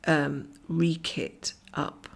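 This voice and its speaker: A middle-aged woman from Scotland talks on and on as she stitches at home, breaking into soft whispers along the way. Her voice runs a little low and gravelly, and it is husky and croaky from a blocked nose. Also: little pitch movement, drawn-out words. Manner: rambling, chatty, often drops to a whisper. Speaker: cross-stitch vlogger. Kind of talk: casual stitch-along chat. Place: at home, camera over her stitching hoop.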